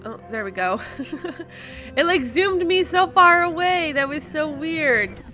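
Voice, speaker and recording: A woman with a high, bright voice hosts a casual video game playthrough. She thinks aloud at an unhurried pace and breaks into exclamations of surprise. Faint music sits behind her. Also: animated. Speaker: host of a gaming series. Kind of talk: casual let's play commentary.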